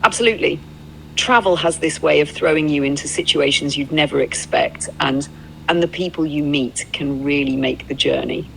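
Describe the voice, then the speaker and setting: An androgynous AI companion voice with a noticeably gravelly creak, speaking at a middling pitch. The delivery is fluent, reflective and agreeable, like conversational storytelling, with clear noise behind it. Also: moderate pace, fairly bright tone, low-quality recording. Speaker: AI companion playing a road-trip documentarian.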